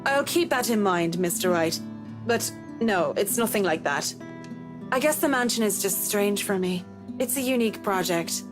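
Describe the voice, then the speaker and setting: A woman's voice: medium pitch, expressive, moderate pace, music in the background, natural voice. An actress playing a blunt, wry working woman in a video game, frank and casually sardonic. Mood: guarded, polite. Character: blunt, wry female worker unafraid of her boss. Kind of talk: visual novel voice acting.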